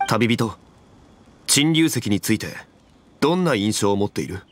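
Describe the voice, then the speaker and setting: An actor playing a composed, dignified, knowledgeable man in an anime game, speaking formally and deliberately. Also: fairly low pitch, animated, a little hiss or hum, close to an everyday voice. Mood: measured, explanatory.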